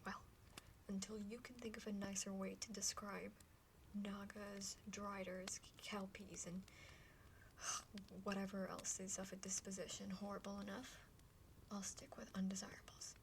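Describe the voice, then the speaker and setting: A woman voicing a haughty, blunt older half-dragon sister in a breathy whispered ASMR roleplay. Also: mid-range voice, flat delivery, a little slow, lightly acted. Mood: blunt, disdainful. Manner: condescending, bluntly spoken. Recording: clearly noisy background with clicks.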